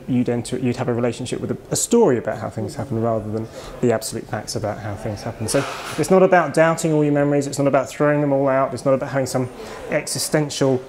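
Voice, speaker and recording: A man's voice: on the high side, a little mellow. A man in his forties with a British accent, an author answering interview questions in a shop kitchen, thoughtful and explanatory, often restarting phrases. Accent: British English. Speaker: author and psychologist.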